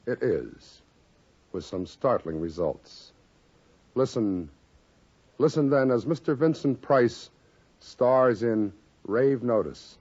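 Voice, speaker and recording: A man's voice: mid-range voice, darkish tone. A man hosting a 1950s radio series, reading a dramatic scripted introduction with theatrical emphasis. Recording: dull lo-fi 1950s radio recording.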